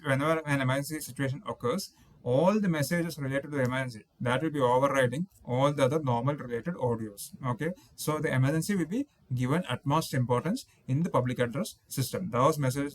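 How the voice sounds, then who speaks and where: A man giving an explanatory technical lecture as an instructor, going through points methodically and often rephrasing them. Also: medium pitch.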